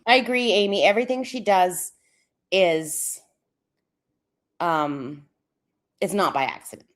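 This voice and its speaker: A woman's voice: lowish voice, lively intonation, slow. A woman chatting with stream viewers, laughing often and a little wheezy. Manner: laughs often, reads and answers the chat. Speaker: livestream co-host. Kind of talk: casual chat with stream viewers.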